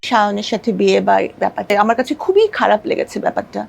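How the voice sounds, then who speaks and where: A woman's voice: mid-range voice, racing, gravelly, music in the background. A middle-aged woman with a Bangladeshi accent telling her life story as an interview guest, emphatic and repeating her phrases.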